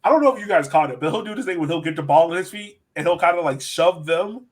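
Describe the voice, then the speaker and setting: A man's voice: fairly high pitch, expressive, fast talker. An American man hosting a sports podcast, talking casually and with enthusiasm as he breaks down soccer. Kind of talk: casual soccer analysis chat.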